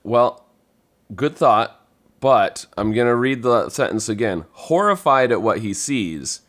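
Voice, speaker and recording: Conversational male quizmaster with an animated pitch range and careful, halting answers. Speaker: puzzle master on a podcast. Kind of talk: casual chat, giving clues.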